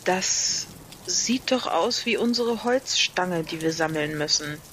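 A woman's voice: fairly low pitch, expressive, slow, fairly bright tone, a little hiss or hum. A woman, a contestant talking casually and unscripted in a game.

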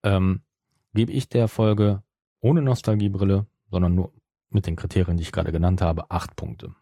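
A middle-aged man, co-hosting a casual review podcast in a measured, qualifying manner. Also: low-pitched, steady tone, dark tone, no echo, clean studio sound. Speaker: podcast co-host.

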